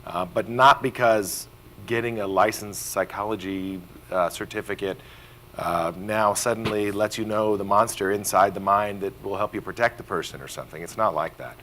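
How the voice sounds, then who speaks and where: A man's voice: fairly low pitch, normal speed, bright, forceful tone, slight background noise. A man speaking on a conference panel in a reflective, plainspoken way.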